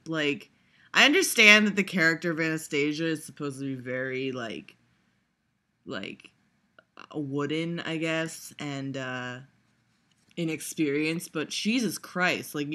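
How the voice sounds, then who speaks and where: A woman's voice: low-pitched, sharp tone. A young woman vlogger giving a sarcastic, profane reaction, rambling with lots of laughs and fillers. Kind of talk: casual reaction commentary.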